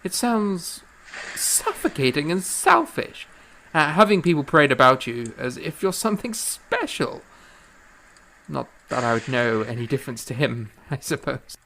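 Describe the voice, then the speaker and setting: An actor playing an earnest, impassioned and self-doubting young composer in a period audio drama, with stammering, effusive, formal old-fashioned speech. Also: male voice, on the high side, sing-song, a little slow, gravelly, sharp tone, a little hiss or hum, heavily acted, rough recording. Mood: disdainful, modest.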